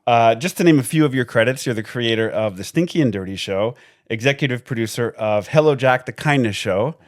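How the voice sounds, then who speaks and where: A man hosts a podcast in a friendly way, asking relaxed questions with a medium-pitched voice. He laughs easily and keeps the conversation light. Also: brisk. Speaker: podcast host. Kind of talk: casual interview.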